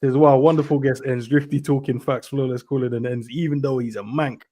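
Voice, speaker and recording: A man's voice: mid-range voice, steady tone, a little mellow. A man hosting a casual football podcast, reading out chat comments in a laid-back, bantering way. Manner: quick, laid-back banter, calls people bro.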